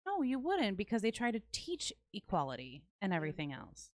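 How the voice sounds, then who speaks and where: A woman's voice: mid-range voice, wildly swooping pitch, a little fast, dry recording, studio quality. A Midwestern American woman hosting a podcast, talking bluntly in casual chat and swearing freely. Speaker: podcast host.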